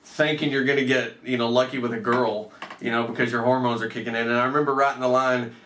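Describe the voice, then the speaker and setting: A man's voice: mid-range voice, flat delivery, quick pace, fairly bright tone, a little hiss or hum. A man in his thirties telling a personal story casually, with swearing and acted-out bits of dialogue. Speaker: storyteller, host. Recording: roomy echo, off the mic.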